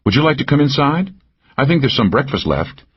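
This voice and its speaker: A man voicing a cool, dry-witted woman drug agent in an audiobook, with a deadpan manner. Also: mid-range voice, fairly quick, slightly rough, highs cut off, voice pushed up. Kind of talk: audiobook character voice.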